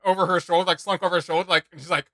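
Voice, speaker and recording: Male reaction host: bright, forceful voice on the high side, rambling casual delivery, dry close sound. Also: racing, dry recording. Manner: animated, rambling, slangy.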